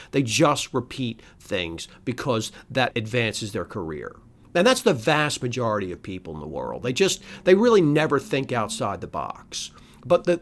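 A middle-aged man hosting a podcast, delivering a solo commentary full of sarcastic rhetorical questions and scoffing laughs. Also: medium pitch. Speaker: podcast host. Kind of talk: solo political commentary monologue.

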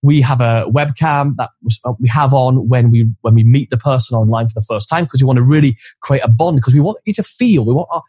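A man co-hosting a podcast with a loud voice, chatting casually and explaining gear step by step, recorded on a studio mic in an office corner. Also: mid-range voice, fairly quick, hardly any pauses, slightly rough, dry recording. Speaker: podcast co-host. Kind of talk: casual chat. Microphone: studio condenser mic on boom arm.

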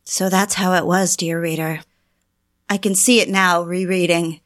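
A woman's voice: fairly low pitch, fairly even intonation, measured pace, fairly bright tone. Woman playing an anguished, lovelorn housewife. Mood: wistful, ashamed. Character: anguished, obsessive, lovelorn housewife confiding in her diary. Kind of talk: intimate first-person audio drama narration.

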